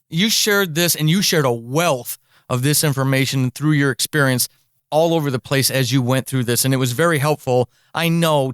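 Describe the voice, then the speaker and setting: A man's voice: medium pitch, fairly bright tone, no room sound, studio quality. A man hosting a podcast interview, speaking conversationally in an earnest, encouraging way and repeating words for emphasis. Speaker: podcast host.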